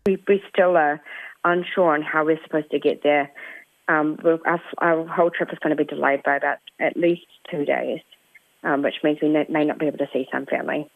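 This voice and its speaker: Tired twenties woman, NZ accent, hesitant, slightly low; phone-line sound. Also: steady tone, fairly quick, creaky, no room sound. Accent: New Zealand. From New Zealand. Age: 20s. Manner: hesitant, lots of ums. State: croaky, tired late at night. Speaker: stranded traveller. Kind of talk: casual phone interview.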